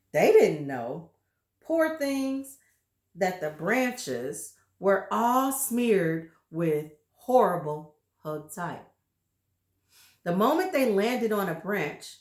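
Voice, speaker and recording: Slow, expressive female read-aloud narrator; roomy, echoing recording. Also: mid-range voice. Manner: expressive, showing pictures to listeners. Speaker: storybook reader. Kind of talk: children's book read-aloud.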